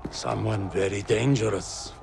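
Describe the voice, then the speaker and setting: A man's voice: on the low side, steady tone, creaky. A man in his sixties with a Middle Eastern accent playing a cold, taunting militant leader in a video game. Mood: calm, menacing. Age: 60s. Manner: measured, unhurried menace. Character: ageing male militant leader, cold, taunting, calmly menacing. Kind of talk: dramatic game cutscene acting.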